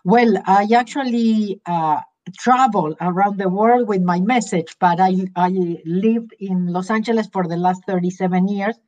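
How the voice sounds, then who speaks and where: A middle-aged woman with an Argentine Spanish accent talks as an interview guest, earnest and unhurried. Her voice sits at an ordinary pitch. The video-call audio comes through dull and lo-fi. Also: darkish tone. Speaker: guest author and teacher. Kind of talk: interview talk.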